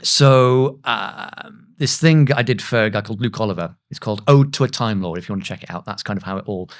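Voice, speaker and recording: A man's voice: on the low side, brisk. A man in his thirties, a voice actor, telling anecdotes in a casual, self-deprecating way as a podcast guest. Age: 30s.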